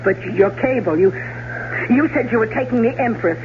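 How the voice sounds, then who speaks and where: A woman's voice: a little high, lively intonation, normal speed, natural voice. An actress in a 1970s radio drama playing a wealthy, flirtatious married woman with a coy, theatrical delivery. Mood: startled, nervous. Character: wealthy married woman, tipsy flirt coming home late. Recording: muffled, noisy old radio broadcast recording.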